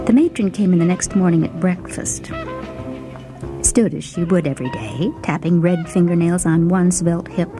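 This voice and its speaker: A woman narrates a short story in the first person, reading dramatically. Her voice sits fairly low, with music running behind it. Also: rough recording. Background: background music.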